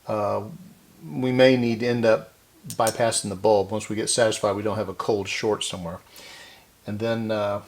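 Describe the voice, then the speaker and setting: A man's voice: fairly low pitch, little pitch movement, moderate pace. A middle-aged man hosting a casual hands-on repair demonstration, talking to himself unscripted as he works. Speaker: host of a vintage electronics repair channel. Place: indoor electronics workbench.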